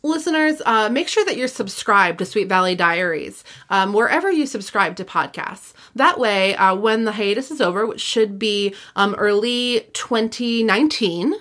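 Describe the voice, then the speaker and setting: A woman's voice: medium pitch, animated, normal speed, hardly any pauses, a bit bright. A woman in her thirties hosting a podcast, chatting casually about books. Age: 30s. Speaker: podcast host. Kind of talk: casual book discussion podcast.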